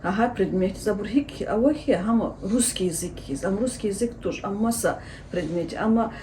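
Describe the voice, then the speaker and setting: A woman teacher gives long interview answers in a mellow, warm voice, stopping often to search for words. Her tone is explanatory and patient. Faint noise hums behind her. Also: medium pitch, moderate pace. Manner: explanatory, hesitant with frequent fillers. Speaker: Lezgian language teacher and researcher.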